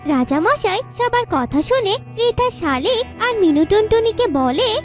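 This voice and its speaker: A woman's voice: very high-pitched, sing-song, measured pace, soft background music. A woman narrating a scripted children's cartoon story as the storyteller.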